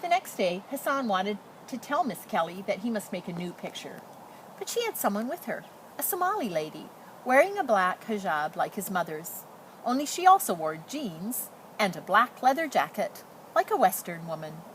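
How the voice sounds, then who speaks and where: A woman reading a children's picture book aloud as a storyteller in expressive narration. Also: mid-range voice, moderate pace, rough recording. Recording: noticeable background noise.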